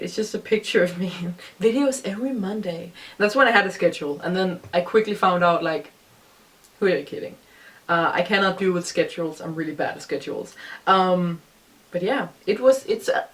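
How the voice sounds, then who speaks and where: A young woman with a deep voice for a woman, talking casually and rambling as she reacts to her old vlog. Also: distant mic. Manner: relaxed, rambling, many fillers.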